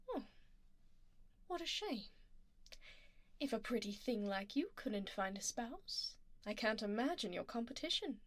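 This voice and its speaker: A woman's voice: high voice, no room sound. Woman voicing a haughty female vampire noble, swooping intonation, imperious, teasing, formal, close dry ASMR sound. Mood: condescending, amused. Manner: imperious, formal and refined, often dropping to a whisper. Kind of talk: ASMR roleplay, intimate scripted monologue. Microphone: close binaural microphone.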